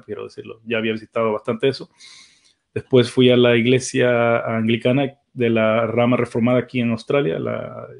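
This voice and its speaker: A man's voice: medium pitch, creaky, darkish tone. A middle-aged man from El Salvador, introducing himself on an online panel in a rambling way, with many restarts. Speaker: guest panelist, church teacher.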